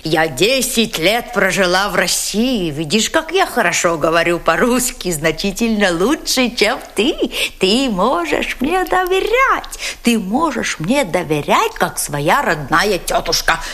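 A woman's voice: medium pitch, sing-song. Syrupy, coaxing woman interrogator, swooping intonation. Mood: sly, wheedling. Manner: syrupy, drawn-out, theatrical phrasing with repeated soft words. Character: German woman interrogator, falsely sweet and coaxing, wheedling. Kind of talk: dramatic acting.

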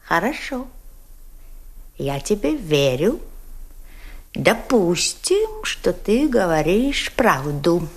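An actress voicing a cunning German woman interrogator who coaxes an orphan boy with wheedling sweetness and then presses him with sharp questions. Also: lowish voice, huge pitch swings, very slow, long pauses, husky, exaggerated voice. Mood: interrogating. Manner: wheedling, sugary coaxing that turns to sharp questioning. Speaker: actress in an audio drama. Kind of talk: dramatic radio play acting.

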